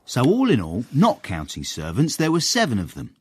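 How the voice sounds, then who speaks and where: Lively, gossipy manservant narrator. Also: male voice, mid-range voice, normal speed, breathy edge. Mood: wry. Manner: wry, florid, relishing. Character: cheeky, gossipy manservant narrator with literary airs. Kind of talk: dramatised scripted narration, period radio comedy.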